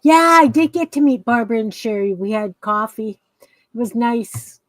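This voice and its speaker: A woman from Canada hosting a casual craft livestream, chatty and rambling with quick laughs. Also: fairly high pitch, expressive, no echo. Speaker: livestream host.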